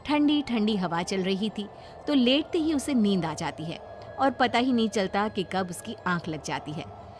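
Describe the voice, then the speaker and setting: A woman's voice: medium pitch, lively intonation, a little slow, slight background noise. A woman storyteller reading a scripted tale as narrator for a children's story.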